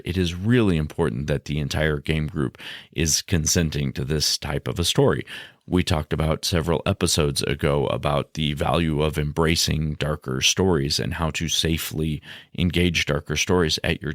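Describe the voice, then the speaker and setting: A man hosting a podcast, delivering scripted explanatory narration in a measured, formal, lecture-like way. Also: extremely low pitch, no echo, clean studio sound. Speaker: podcast host; game master.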